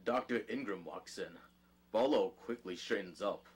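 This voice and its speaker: A man's voice: lowish voice, moderate pace, breathy edge. A man narrating an audio drama, reading scripted stage directions.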